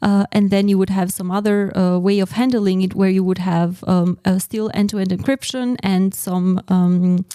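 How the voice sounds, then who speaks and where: A woman explaining her research as a podcast guest, pausing hesitantly in mid-sentence as she goes. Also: medium pitch, steady tone, dark tone, clean tone, no room sound, studio quality. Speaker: guest researcher in secure messaging.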